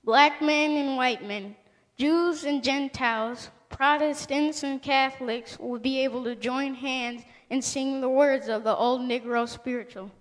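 A woman's voice: high-pitched, fairly even intonation, unhurried. A woman giving a theatrical recitation of oratory in a staged reading. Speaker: reader in a readers' theater presentation. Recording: echoing hall with long reverb.

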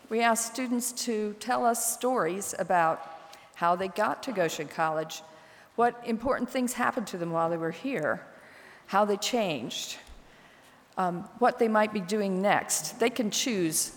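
A woman coordinating a college assembly, introducing student speakers in a friendly, measured way. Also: on the high side. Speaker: convocation coordinator. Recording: echoey auditorium sound.

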